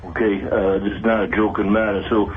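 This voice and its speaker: A man's voice: lowish voice, little pitch movement, brisk. A man playing an earnest, touchy married man rambling solemnly on a call-in phone line. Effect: telephone line. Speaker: prank caller. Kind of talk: call-in prank call. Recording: tinny phone line, faint noise.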